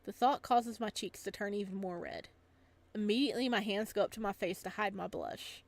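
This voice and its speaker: A woman's voice: medium pitch, fairly quick, creaky. A woman streaming a visual novel, reading narration aloud and reacting casually in a giggly, gushing way.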